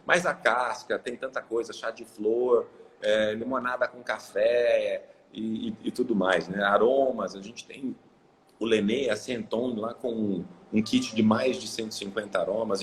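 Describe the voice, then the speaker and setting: A man's voice: on the low side, steady tone, moderate pace, slight background noise, low-quality recording. A Brazilian man from Ribeirão Preto, talking casually as a guest on a live video call, rambling with many fillers and restarts. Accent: Brazilian Portuguese. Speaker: guest, coffee exporter.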